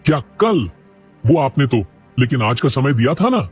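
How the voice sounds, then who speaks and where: A man voicing a village priest in a cartoon who speaks in a proper, expressive way. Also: mid-range voice, normal speed, husky. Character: proud middle-aged male village priest. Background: faint music.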